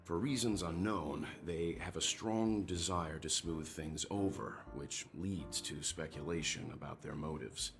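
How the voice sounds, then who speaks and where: An actor playing a thoughtful, grave mentor in a video game, speaking in a measured, formal, analytical manner. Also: male voice, low voice. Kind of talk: voiced video game dialogue. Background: background music.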